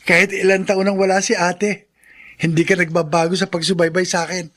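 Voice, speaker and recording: An actor playing a cheerful, affectionate young graduate, an eager little brother, in a Filipino radio drama. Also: male voice, high-pitched, little pitch movement, a little fast, fairly bright tone. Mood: grateful, hopeful.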